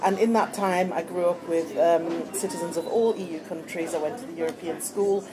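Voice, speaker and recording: A woman's voice: lowish voice, steady tone, moderate pace, low-quality recording. Italian-born woman, sounds british, chatty guest, crowd noise behind. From Italy. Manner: chatty, self-deprecating. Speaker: guest, campaigner. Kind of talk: casual chat.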